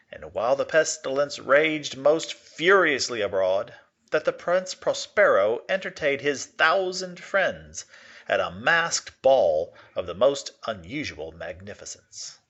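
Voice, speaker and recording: Male teacher for literary read-aloud: forward, bright tone, lively swings in intonation, modest lo-fi sound. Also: medium pitch, measured pace. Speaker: teacher reading a story aloud.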